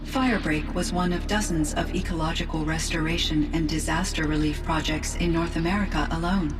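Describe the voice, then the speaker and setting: Woman acting as a calm female AI, distant and noisy. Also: on the low side, close to an everyday voice. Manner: measured, formal, precise wording. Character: calm, analytical female artificial intelligence, formal and earnest. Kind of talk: scripted video game cutscene acting. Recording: distant sound with loud background noise.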